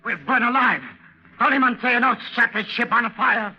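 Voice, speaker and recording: An actor playing a frightened, simple sailor with a husky voice in a 1940s radio drama. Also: male voice, very high-pitched, normal speed. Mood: alarmed. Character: frightened, simple sailor siding against the mutineers. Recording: dull lo-fi 1940s radio recording.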